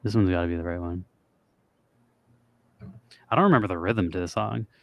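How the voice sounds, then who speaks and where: A young man with a low, raspy voice, chatting loosely and swearing casually as a podcast co-host. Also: fairly quick, fairly bright tone, faint music behind. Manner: loose and profane, trails off with fillers. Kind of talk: casual chat with guitar and singing.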